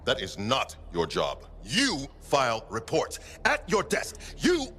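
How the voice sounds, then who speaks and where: An actor playing a stern, angry military commander in a video game, barking clipped orders with authority. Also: male voice, medium pitch, wildly swooping pitch, slow, sharp tone, exaggerated voice. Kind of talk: video game dialogue acting. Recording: music behind the voice.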